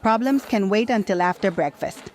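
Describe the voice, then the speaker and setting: A woman's voice: mid-range voice, normal speed, a little mellow, lightly acted. A woman playing a kindly diner waitress in an audio drama, motherly and placating. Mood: soothing.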